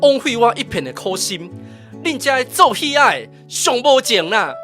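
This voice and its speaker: Indignant young master, high and swooping. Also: male voice, sing-song, normal speed, bright, forceful tone, faint music behind, exaggerated voice. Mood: indignant, wounded. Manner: theatrical, reproachful. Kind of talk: Taiwanese radio drama acting.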